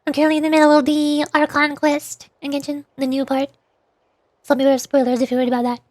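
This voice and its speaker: A woman livestreaming a game with casual, excitable, playful chatter. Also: sits high, fast, dry recording. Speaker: livestreamer, VTuber. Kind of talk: casual gameplay stream chat.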